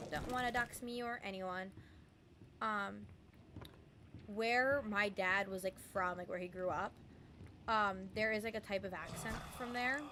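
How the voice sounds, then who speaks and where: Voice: mid-range voice, background noise. A young adult game streamer chatting casually and rambling with lots of "um" and "like", fond of doing voices. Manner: rambling, full of "um" and "like"; does playful voices and mimicry. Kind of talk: casual live-stream chat.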